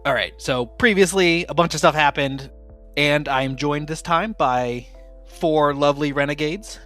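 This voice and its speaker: A man's voice: on the high side, unhurried, a bit bright, soft background music. A man casually hosting and running an actual-play tabletop game podcast, with a playful, jokey manner. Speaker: podcast host and game master.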